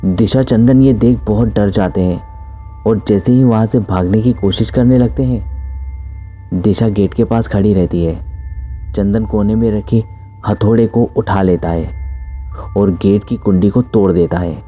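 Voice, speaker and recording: A man's voice: lowish voice, measured pace, dark tone, soft background music. A man narrating a scripted horror story as its storyteller.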